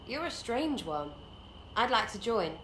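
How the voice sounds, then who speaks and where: Scrappy young archer rogue, English accent, irreverent and slangy, highish voice swooping about, roomy game audio. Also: female voice, very animated, measured pace, fairly bright tone. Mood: cheeky, brash. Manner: slangy, blunt, rambling, mocking. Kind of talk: video game dialogue acting. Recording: roomy echo, faint background noise.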